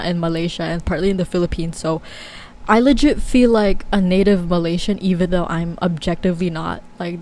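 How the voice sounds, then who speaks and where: A woman's voice: fairly low pitch, darkish tone, a little hiss or hum, low-quality recording. A young woman talking casually to camera as a vlogger, chatty and candid with many fillers and frequent laughs.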